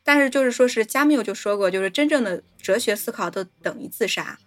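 Female co-host, mid-pitched, dry sound, reflective casual discussion in short phrases. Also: lively intonation, fast talker, a bit bright, no room sound. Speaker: podcast co-host.

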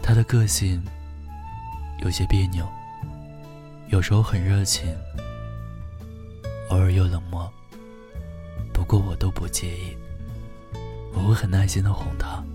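Tender young boyfriend, deep, even-toned, intimate radio drama delivery over guitar music. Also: male voice. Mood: tender, devoted. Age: young adult. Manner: soft, tender, unhurried, breathy phrase endings. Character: gentle, devoted young boyfriend, wistful and heartbroken. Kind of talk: intimate first-person radio drama narration. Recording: music behind the voice.

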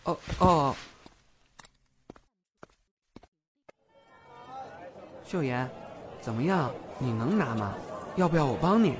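A man's voice: on the high side, expressive, moderate pace, a crowd in the background, natural voice. An actor playing a young male beat cop who is cheeky, brash and playful in an audio drama. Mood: teasing, casual.

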